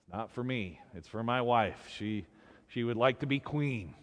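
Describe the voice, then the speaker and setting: Humble fisherman for a folk tale played by a man, sheepish, hesitant, apologetic, slightly high voice in long reverb. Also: animated, normal speed, fairly bright tone. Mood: sheepish pleading.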